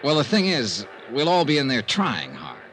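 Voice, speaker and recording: An actor playing a hard-boiled, dryly wisecracking investigator in an old-time radio mystery. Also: male voice, medium pitch, wildly swooping pitch, normal speed, a bit bright. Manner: dry, wisecracking. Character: wry, hard-boiled male investigator narrating his case. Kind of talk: 1950s radio detective acting and narration.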